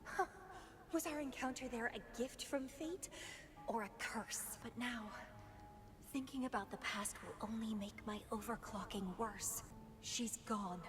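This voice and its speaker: An actress plays an unhinged, lovelorn young woman fighter in a video game. Her voice sits high and moves slowly through a theatrical, brooding monologue. Clear dramatic music plays behind her. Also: lightly acted.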